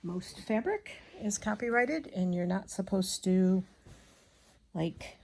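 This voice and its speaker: A woman chatting casually as she hand stitches, rambling and absent-minded, muttering to herself, with a slightly gravelly voice. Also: medium pitch, animated, slow pace, a little mellow. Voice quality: slightly gravelly from a frog in the throat. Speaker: craft vlogger.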